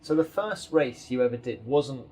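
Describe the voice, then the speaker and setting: A man's voice: medium pitch, animated, normal speed, crowd noise. Curious male podcast host. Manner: curious, prompting questions. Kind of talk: podcast interview.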